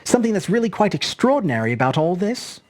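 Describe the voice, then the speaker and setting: A woman's voice: lowish voice, sing-song, quick pace, darkish tone, voice pushed up. A woman voicing a polite, puzzled seventeen-year-old boy with an earnest, hesitant way of musing aloud.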